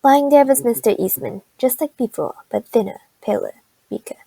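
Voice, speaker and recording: A woman delivers scripted story narration for a radio play. Her voice is slightly high yet mellow, with a gravelly creak. The sound is dry. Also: normal speed, no echo, poor audio.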